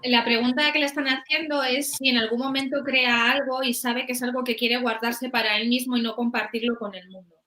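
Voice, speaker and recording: Bright-voiced woman co-host. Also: fairly high pitch. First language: Spanish. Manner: relaxed, laughs easily, translates in quick summaries. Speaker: co-host and interpreter. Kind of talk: casual live chat, switching to translate.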